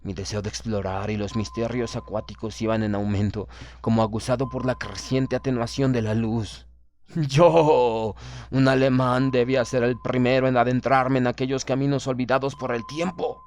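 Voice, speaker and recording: A man's voice: medium pitch, fairly even intonation, moderate pace, darkish tone. Man narrating a solemn literary audiobook. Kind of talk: dramatic literary audiobook narration in first person.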